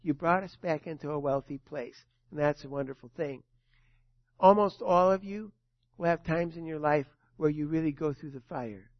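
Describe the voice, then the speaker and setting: A man in his sixties preaching as a pastor, telling stories with dramatic swells and hushed drops. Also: a little high, normal speed, dry recording. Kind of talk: sermon. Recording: dull, dry sound.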